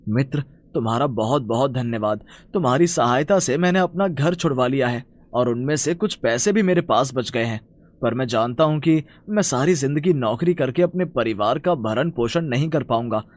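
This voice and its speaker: Earnest young son, animated pitch, man acting. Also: normal speed, dry recording, lightly acted. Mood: grateful, earnest. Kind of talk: cartoon fairy-tale voice acting.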